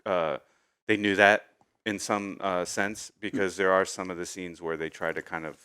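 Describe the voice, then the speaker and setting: Low, clean-voiced man in relaxed review chat. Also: normal speed, clear, resonant tone, no echo, clean studio sound. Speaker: co-host of a video game review show. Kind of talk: casual film review chat.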